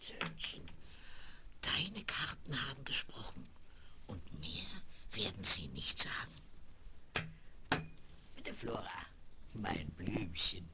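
A woman's voice: a bass voice, sing-song, takes it slowly, pauses often, in a whisper, heavily acted. An actress playing an old, motherly yet mysterious circus fortune-teller in a radio play, speaking in dramatic pronouncements full of endearments. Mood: mysterious, slow. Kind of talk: German radio play for young listeners. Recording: noisy 1970s vinyl radio play.

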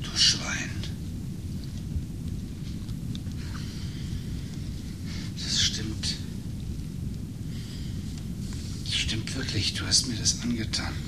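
An actor playing an agitated, embittered failed writer in a German radio play, speaking haltingly and accusingly. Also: male voice, fairly low pitch, close to an everyday voice. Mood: wounded, furious. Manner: halting, emotionally wrought, accusing. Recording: noisy 1980s radio play recording.

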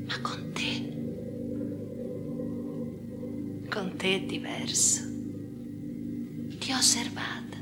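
A haunted obsessive woman, breathy half whisper, halting and intense, radio play w music behind. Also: on the low side. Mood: intimate, insistent. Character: haunted, obsessive female amputee confiding in her chosen successor. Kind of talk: intimate radio drama monologue.

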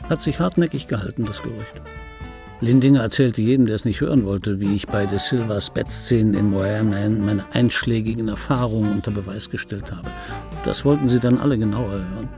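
An actor playing a disgruntled, wry middle-aged dubbing artist who tells his life in a rough voice, as a conversational radio play monologue. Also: lowish voice, fairly quick, over music, natural voice. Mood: indignant. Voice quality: rough, gravelly. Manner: sardonic, conversational storytelling. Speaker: narrator and lead of a radio play.

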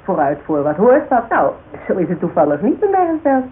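A woman's voice: lowish voice, very animated, a little fast. An actress playing a frank, sarcastic, worldly woman in a Dutch radio drama. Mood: annoyed, frank. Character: worldly, plain-spoken woman, blunt and teasing. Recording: tinny band-limited radio recording, faint hiss.

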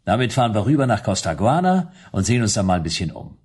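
A man's voice: fairly low pitch, sing-song, fast, a little mellow, husky. A man playing a wry private detective in a radio play, speaking in a curt, sardonic and bossy way. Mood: teasing, impatient. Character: wry, brisk male private detective, bossy with his computer.

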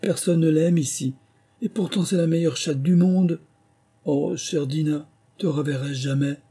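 A man's voice: a little high, normal speed, dark tone, breathy edge, voice pushed up. A man voicing a polite, naive little girl in a storybook, earnest and eager to please. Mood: wistful. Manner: earnest, conciliatory.

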